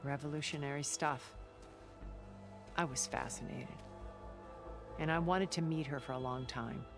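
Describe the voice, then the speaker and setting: An actress playing a composed, secretive older woman in a video game, speaking in a measured, formal, articulate way. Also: deep voice, steady tone. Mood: wistful, admiring. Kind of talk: dramatic video game dialogue. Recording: background music under the voice.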